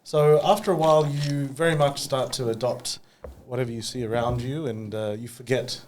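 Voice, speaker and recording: A man's voice: medium pitch, fairly even intonation, moderate pace, a little mellow, slight background noise. An Australian man in his thirties, co-hosting a podcast in casual chat, reflective and thinking aloud with many fillers. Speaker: podcast co-host.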